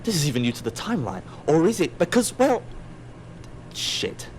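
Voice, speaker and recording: A man's voice: on the high side, wildly swooping pitch, moderate pace, darkish tone. Wry male narrator over faint noise. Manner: wry, theatrical. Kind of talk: scripted audio drama narration.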